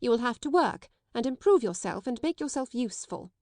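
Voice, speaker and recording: A woman playing a sharp, domineering schoolmistress. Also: fairly high pitch, very animated, fairly quick, dry recording, clean studio sound, voice pushed up. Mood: harsh. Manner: cold, scolding, sharp. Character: stern domineering middle-aged woman schoolmistress. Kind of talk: audiobook character voice.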